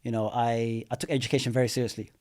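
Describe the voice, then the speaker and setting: A man, a podcast guest telling his story in a relaxed way, full of "you know" and restarts. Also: mid-range voice, fast talker. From London. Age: 30s. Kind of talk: casual interview chat. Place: studio.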